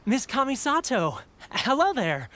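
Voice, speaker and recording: A man's voice: extremely high pitch, wildly swooping pitch, measured pace, sharp tone, a little hiss or hum, heavily acted. A man playing a jovial, deferential fortune-stall keeper in an anime-style game, effusive and welcoming. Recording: music behind the voice.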